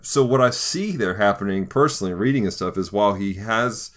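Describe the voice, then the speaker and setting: Low-voiced man, thoughtful podcast host. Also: a little fast, fairly bright tone. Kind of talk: conversational podcast discussion of faith and pop culture.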